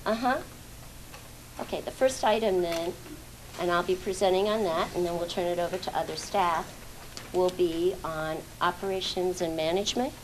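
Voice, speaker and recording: A woman's voice: fairly low pitch, steady tone, normal speed, slight background noise, low-quality recording. A woman on a housing authority's staff presenting to a public board meeting in a plain, matter-of-fact way.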